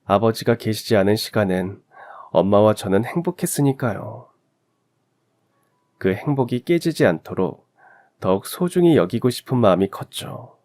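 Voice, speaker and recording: A man's voice: on the low side, expressive, normal speed, darkish tone. A man reading a scripted first-person story in the style of a radio drama. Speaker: narrator of a story channel.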